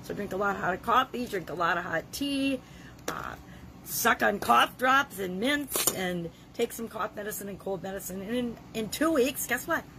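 A middle-aged woman with a gravelly voice from a cold, leading a self-help challenge in an earnest, conversational way. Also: medium pitch, expressive, moderate pace, noisy background. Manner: earnest, conversational, with frequent restarts. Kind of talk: motivational talk to camera.

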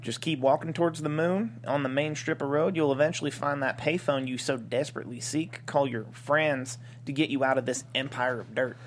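A man's voice: medium pitch, brisk, hardly any pauses, a little hiss or hum, natural voice. An actor playing a stubborn middle-aged father who runs a small-town bar, in a comedy script table read. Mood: stern.

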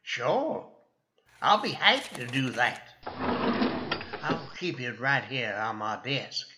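A man's voice: mid-range voice, animated, slow, sharp tone, slight background noise. A man playing a genial, hesitant older small-town sheriff with a Western American drawl in a radio western. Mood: helpful, chatty. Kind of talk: old-time radio western acting.

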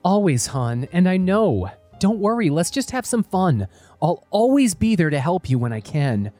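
A protective, hot-tempered dad played by a woman narrator, blunt and forceful in a storytelling video. The pitch moves a lot from phrase to phrase, over faint music. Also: sing-song, moderate pace, no room sound. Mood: reassuring. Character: angry protective father standing up to bullies.

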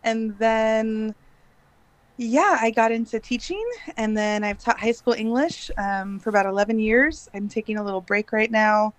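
Woman, late thirties, guest on an interview podcast; chatty and laughing, a slightly high voice, dry close sound. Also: fairly even intonation, normal speed, dry recording. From grew up in Bangladesh. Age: late 30s. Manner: chatty, laughs often, hesitant fillers. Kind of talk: casual interview chat.

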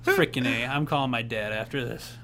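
A young man co-hosting a casual advice podcast, earnest and rambling with restarts, laughing easily, who says his voice is on the high side. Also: a little fast, creaky, crowd noise. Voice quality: says he has a rather high voice. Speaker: podcast co-host.

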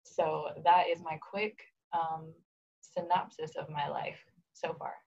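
A woman's voice: deep voice, level tone, slow, gravelly, darkish tone, breathy edge, highs cut off. A woman in her twenties, a podcast guest, telling her background casually with fillers and light laughs. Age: 20s. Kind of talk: casual conversational storytelling.

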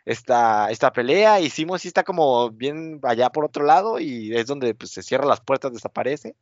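A man's voice: mid-range voice, lively intonation, normal speed, dry recording. A man, casually recapping a TV episode as co-host, rambling with many fillers. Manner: rambling, many fillers, acts out quotes with laughs. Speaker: podcast co-host. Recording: dull lo-fi sound.

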